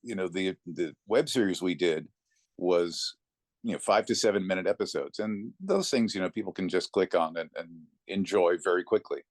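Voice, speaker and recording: A man talking conversationally as an interview guest, telling anecdotes in a reflective way with thoughtful pauses. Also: low voice, dry recording, clean studio sound. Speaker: interview guest, narrator of classic readings.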